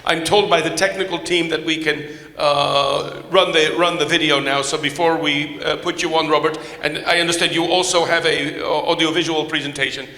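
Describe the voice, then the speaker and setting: A man whose first language is Polish, moderating a panel in English, formal and courteous, halting with many fillers and restarts. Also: on the high side, fairly even intonation, sharp tone. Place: large conference hall with podium. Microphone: microphone. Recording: echoey hall with long reverb.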